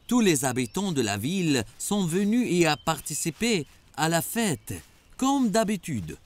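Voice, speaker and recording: Man playing an animated, deferential cartoon townsman. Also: fairly high pitch, unhurried. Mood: gossipy. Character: deferential, tale-bearing townsman informing his master. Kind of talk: dubbed religious cartoon acting.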